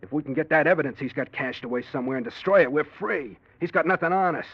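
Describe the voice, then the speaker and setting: An actor playing a desperate, scheming gangster with tough, urgent talk in a 1940s radio crime drama. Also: male voice, a little high, fast, breathy edge, dry recording. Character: desperate scheming male gangster, blackmail victim turned gunman. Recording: muffled old radio broadcast.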